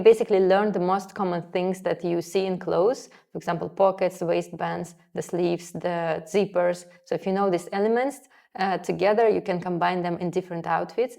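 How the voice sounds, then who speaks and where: A woman's voice: fairly low pitch, vocal fry, darkish tone. A woman from Russia, not a native English speaker, talking casually as an interview guest in her sewing studio and often quoting her own past thoughts. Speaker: guest, sewing school founder.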